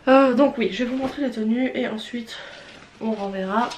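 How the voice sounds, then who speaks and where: A woman's voice: on the high side, moderate pace, darkish tone. A young woman with a Swiss French accent, stuffy from a cold, chatting frankly and hesitantly as she unboxes and tries on clothes, with lots of fillers and restarts. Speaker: vlogger. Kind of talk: casual unboxing and try-on chat. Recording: roomy with faint background noise.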